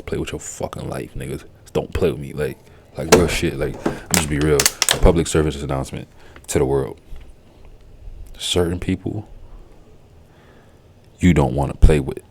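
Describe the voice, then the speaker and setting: A man's voice: extremely low pitch, gravelly, darkish tone, a little hiss or hum. A man whose first language is Haitian Creole, giving casual, profane, rambling commentary. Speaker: vlogger.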